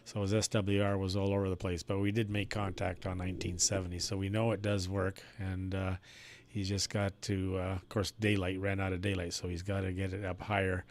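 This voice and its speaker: A man chatting casually as an amateur radio operator, in a folksy, unhurried way. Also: sits low, level tone.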